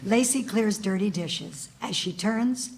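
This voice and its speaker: Mid-pitched woman reading stage directions. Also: takes it slowly. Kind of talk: scripted narration. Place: live stage table read. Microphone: stage microphone on stand.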